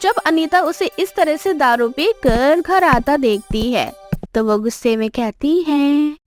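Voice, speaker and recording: A woman's voice: high voice, slow pace, soft background music. A woman narrating a scripted dramatic moral story as its storyteller.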